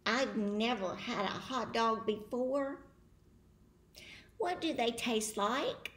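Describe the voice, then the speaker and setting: A woman's voice: a little high, slow, a bit bright, reverberant, far from the mic. A woman voicing a small, innocent, curious duckling from a picture book, with mild questions. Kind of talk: picture book read aloud.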